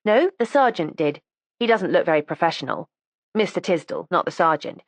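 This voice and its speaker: A woman's voice: on the low side, lively intonation, brisk, dry recording. A woman reading the part of a precocious, matter-of-fact teenage schoolgirl who chats candidly and primly. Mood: chatty. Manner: chatty, candid and prim.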